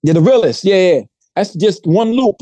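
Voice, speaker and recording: Mellow-voiced man, slangy podcast host. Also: sits high, moderate pace, no echo. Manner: slangy, animated storytelling. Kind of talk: casual podcast chat.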